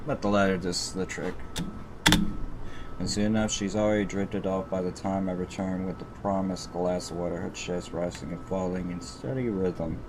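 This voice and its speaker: A man's voice: sits low, steady tone, normal speed, noisy background. A man reading story narration aloud on a live stream, stumbling over words and repeating phrases as he goes.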